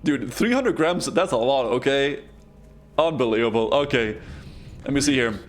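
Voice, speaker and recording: A man from Denmark, chatting excitedly with his viewers while he streams a game. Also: medium pitch, normal speed, soft background music. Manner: excitable, chatty, talks straight to chat. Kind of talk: casual live-stream commentary.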